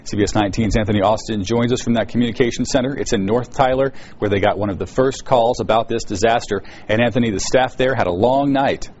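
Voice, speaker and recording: A male anchor reads news copy at a fast clip in a fairly low voice. The recording is slightly dull. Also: darkish tone, slight background noise. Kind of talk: scripted news narration.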